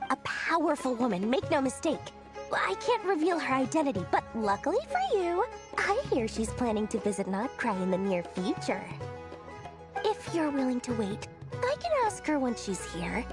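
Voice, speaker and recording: High, theatrical greedy merchant girl. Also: big pitch swings, normal speed, over music. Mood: intrigued, shrewd. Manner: pompous salesman patter, dramatic swings. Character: greedy, theatrical, self-important female traveling merchant. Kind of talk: animated video game cutscene voice acting.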